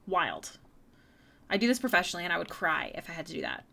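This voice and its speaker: A woman's voice: fairly low pitch, huge pitch swings, quick pace, vocal fry, pushed and bright. A young woman streaming her artwork, chatting casually with viewers and often stumbling over and repeating her words. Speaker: streaming artist. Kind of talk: casual live-stream chat while drawing.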